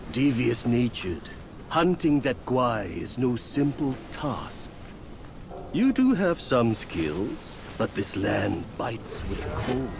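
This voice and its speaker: Grand showy poet mentor, slow and lively, loud music under it. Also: male voice, medium pitch, creaky, exaggerated voice. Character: cheerful eccentric poet-mentor, boastful and warm. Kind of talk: video game cutscene dialogue.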